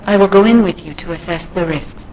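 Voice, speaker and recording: A woman's voice: lowish voice, a little fast, darkish tone, slight background noise, close to an everyday voice, rough recording. A woman playing a composed, protective digital guide in a video game, speaking formally and precisely. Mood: calm concern. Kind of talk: video game dialogue acting.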